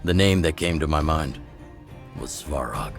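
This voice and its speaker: Very deep, gravelly rebel chief. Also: male voice, animated, heavily acted. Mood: grave, firm. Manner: measured, sardonic, chuckling. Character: gruff, wry, composed older male underground rebel chief. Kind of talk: scripted video game voice acting. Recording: game music under the voice. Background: game music.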